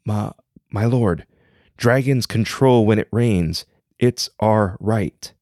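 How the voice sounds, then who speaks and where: Guy putting on a cocky dragon king, storybook style, pitched up and loud, haughty. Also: slow pace, dry recording, clean studio sound. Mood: pleading. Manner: haughty and indignant. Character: arrogant proud male river dragon king.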